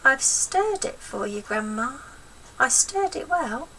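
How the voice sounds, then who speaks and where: A woman voicing a polite, meek eight-year-old boy from a children's storybook, softly spoken. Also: high-pitched, very animated, slow, far from the mic, pitched above the performer's own voice, heavily acted, rough recording. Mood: meekly protesting. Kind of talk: acted children's storybook reading.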